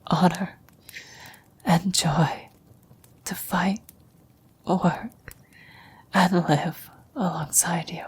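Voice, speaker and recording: A woman playing a gentle, wise, dying old woman in a whispered, breathy, solemn ASMR roleplay. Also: fairly low pitch, a little hiss or hum. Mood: tender, grateful. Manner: slow, solemn, measured delivery.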